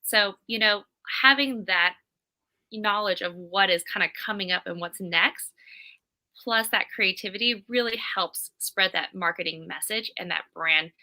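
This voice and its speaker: A woman's voice: medium pitch, measured pace, sharp tone. A woman with a Californian accent, talking casually as a guest in an interview. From California, United States. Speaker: interview guest, creative director.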